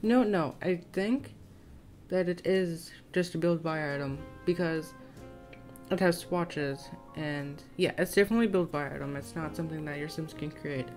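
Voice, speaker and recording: Low-voiced young woman, rambling casual game voiceover. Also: moderate pace. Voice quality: slightly scratchy. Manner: rambling, self-conscious, tentative. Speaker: gaming video creator. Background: soft plucked guitar music.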